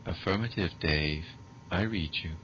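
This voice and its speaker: A man playing a measured, eerily polite machine butler that coldly refuses orders, as film parody. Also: low voice, fairly bright tone. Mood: coldly calm. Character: calm, menacing male-voiced computer butler, coldly refusing. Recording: dull lo-fi recording, background noise.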